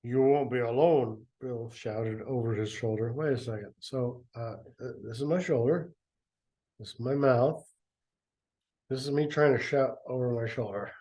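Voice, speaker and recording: A man's voice: medium pitch, normal speed, darkish tone. A man reading a story aloud and breaking off for sarcastic, mocking commentary. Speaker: reader and commentator.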